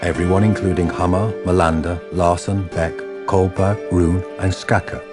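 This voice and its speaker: A man's voice: low-pitched, steady tone, moderate pace, over music. A man narrating a radio drama from a script.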